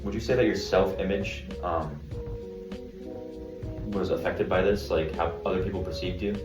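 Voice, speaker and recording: A man's voice: low voice, steady tone, moderate pace. A young man conducting a class interview, asking questions hesitantly with many ums. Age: young adult. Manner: hesitant, lots of um. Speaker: student interviewer. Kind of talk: casual interview. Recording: roomy, distant, music behind.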